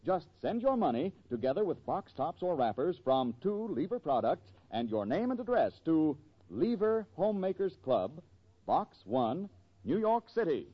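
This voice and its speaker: A man announcing on 1940s radio with a polished sales pitch. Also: big pitch swings, normal speed. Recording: muffled vintage 1940s radio broadcast.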